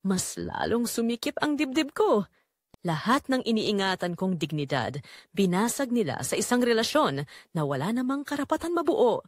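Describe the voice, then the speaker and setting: A woman's voice: on the high side, sing-song, normal speed, dry recording, clean studio sound. A woman reading dramatic first-person scripted narration in an emotional, theatrical way.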